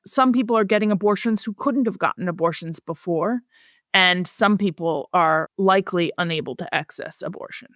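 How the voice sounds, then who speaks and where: A woman's voice: lowish voice, normal speed, a bit bright, no room sound, studio quality. A woman speaking as an expert guest, explaining things in articulate, plain-spoken interview answers. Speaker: expert guest, professor and researcher.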